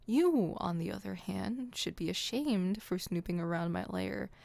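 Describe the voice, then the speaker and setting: A woman's voice: medium pitch, expressive, measured pace, creaky, a little mellow, no echo. A woman voicing an exasperated, proud but secretly caring supervillain in an ASMR roleplay, with an indignant, wordy, dry delivery.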